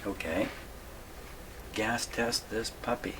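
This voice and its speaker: Low-voiced man thinking aloud, noisy recording. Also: slow pace. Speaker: host of an electronics repair video. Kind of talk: casual hands-on demonstration, thinking aloud. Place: home radio workshop. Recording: clear background noise.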